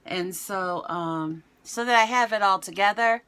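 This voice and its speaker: A middle-aged American woman with a raspy voice, chatting casually to camera in a home vlog. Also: mid-range voice, measured pace, fairly bright tone. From United States. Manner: chatty, rambling, self-mocking. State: raspy voice today. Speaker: vlogger. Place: home, indoors.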